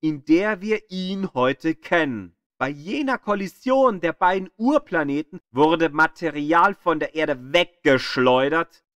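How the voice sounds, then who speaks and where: A man narrating a scripted educational audio guide like a playful tour guide, with deliberate, emphatic storytelling delivery. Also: a little high, expressive, a bit bright, no echo.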